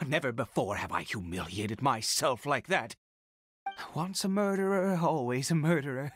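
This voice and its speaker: A man playing a fanatical, unhinged father devoted to a mysterious god in a video game, speaking in a theatrical, halting way with emphatic swells. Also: a little high, a bit bright, breathy edge, no echo, clean studio sound, exaggerated voice. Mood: brooding, amused. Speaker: voice actor. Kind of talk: dramatic game dialogue acting.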